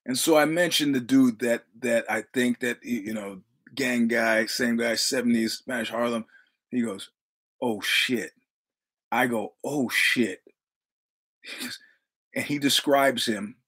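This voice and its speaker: Husky New York man in his sixties. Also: mid-range voice, steady tone, takes it slowly, fairly bright tone. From New York City. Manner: profane, rambling anecdotes with quoted dialogue. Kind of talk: casual storytelling.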